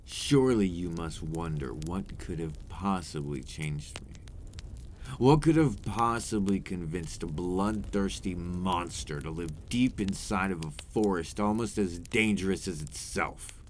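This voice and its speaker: A man playing a remorseful, brooding vampire in an ASMR roleplay monologue, deep-voiced and theatrical, with deliberate, formal phrasing. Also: expressive, slight background noise. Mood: regretful, ominous. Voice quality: deep, breathy at times. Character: remorseful male vampire, seductive, brooding and menacing.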